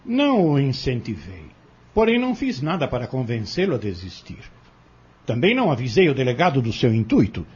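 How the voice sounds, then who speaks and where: Guy playing a scheming vengeful man on the stand in a radio play, really raspy, voice swings up and down. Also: mid-range voice, huge pitch swings, a little fast, a little mellow, full character voice. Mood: cold, calculating. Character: cunning vengeful male defendant confessing in court. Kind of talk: audio drama acting. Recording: dull lo-fi audio.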